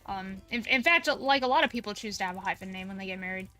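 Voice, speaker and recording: Bright-voiced chatty woman streamer. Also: mid-range voice, expressive, fast talker, dry recording, faint music behind. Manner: chatty, argumentative, many fillers. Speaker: streamer playing a game. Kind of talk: casual chat while gaming.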